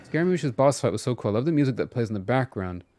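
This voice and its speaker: A man's voice: mid-range voice, lively intonation, fast talker, darkish tone, slight background noise. A man chatting casually as a game streamer, talking to himself and to his viewers.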